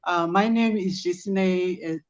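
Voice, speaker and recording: A middle-aged woman with a Zimbabwean accent, a guest answering interview questions in a measured way with many ums. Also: on the low side, highs cut off. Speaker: guest, project founder.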